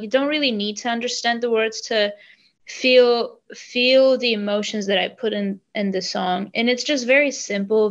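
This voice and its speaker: Young woman, earnest and halting, bit muffled. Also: fairly high pitch, a bit bright. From Armenia. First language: Armenian. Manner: earnest, halting with restarts and ums. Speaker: singer guest. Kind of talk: casual interview chat.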